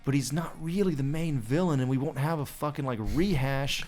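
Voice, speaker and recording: A man's voice: medium pitch, normal speed, darkish tone. A man co-hosting a casual podcast chat, blunt and offhand, swearing freely. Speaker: podcast co-host.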